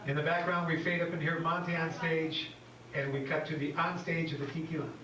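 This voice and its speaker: A man's voice: a little high, flat delivery, brisk, crowd noise. A man narrating scripted stage directions in a plain, matter-of-fact way. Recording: echoey room, distant, faint noise.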